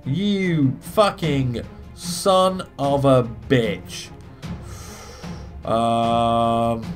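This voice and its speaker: Guy doing a casual lets play, swears a lot, thinks out loud, game music in the back. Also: mid-range voice, very slow. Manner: sweary, talks through his moves aloud. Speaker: gaming video host. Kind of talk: casual let's play commentary. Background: video game music.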